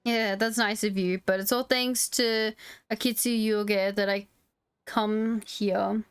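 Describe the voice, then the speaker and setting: A woman's voice: mid-range voice, fairly even intonation, slow pace, smooth, soft background music. A woman streaming a game casually, reading its dialogue aloud into a close mic.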